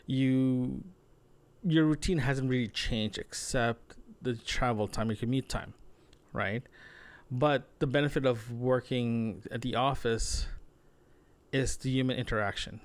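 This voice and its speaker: A man's voice: mid-range voice, clean tone, no room sound. A man speaking in a casual audio-diary monologue as a solo podcaster, rambling and often rephrasing himself. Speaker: solo podcaster, remote-working designer.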